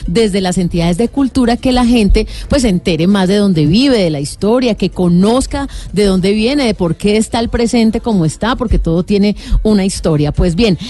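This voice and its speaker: A woman's voice: medium pitch, expressive, moderate pace, hardly any pauses, mellow. A woman hosting a radio show, chatting in an upbeat, promotional way from a studio. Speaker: radio host. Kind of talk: casual radio chat.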